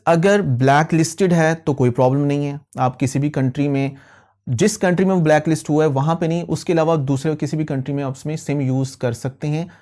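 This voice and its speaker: A man's voice: a little high, a little mellow. A man hosting a question-and-answer tech video, explaining conversationally to viewers and mixing in English phrases. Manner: mixes English phrases into speech. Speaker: host of a tech Q&A channel.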